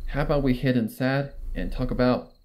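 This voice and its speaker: A man's voice: medium pitch, a little fast, a little mellow, close to an everyday voice. Man playing a stern, folksy country mare. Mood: stern, suspicious. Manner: folksy, plain-spoken. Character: stern, suspicious, folksy female country pony.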